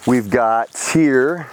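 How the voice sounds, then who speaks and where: A man talks through a house walkthrough in an easy, unscripted way, dropping casual profanity. He has a head cold that leaves him a little sniffly. His voice sits at an ordinary middle pitch. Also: a little hiss or hum, rough recording. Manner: casual, profane, thinking aloud. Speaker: house flipper showing a property. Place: outdoors at a house.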